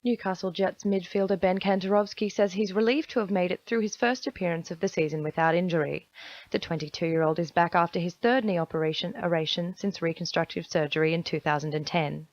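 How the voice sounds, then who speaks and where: A woman's voice: lowish voice, fairly even intonation, fairly quick, lo-fi sound. An Australian woman reading a scripted sports bulletin on the radio.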